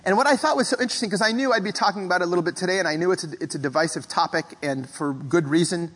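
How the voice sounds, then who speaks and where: A male pastor preaches a thoughtful sermon, his voice sitting a little high for a man. He speaks measured and reflective, pausing to clear his throat now and then. A long hall reverb trails each phrase. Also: fairly bright tone. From Philippines.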